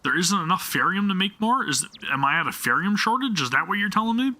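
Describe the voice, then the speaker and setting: A man's voice: sits high, expressive, fast talker, bright, forceful tone, a little hiss or hum. A man live-streaming a game, talking to viewers and thinking aloud in a casual way, often questioning himself.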